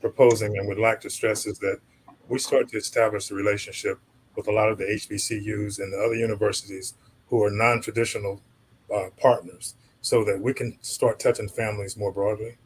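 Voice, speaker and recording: Low husky-voiced male moderator. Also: little pitch movement, fairly quick, poor audio. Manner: conversational, directs questions to panelists. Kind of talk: panel discussion.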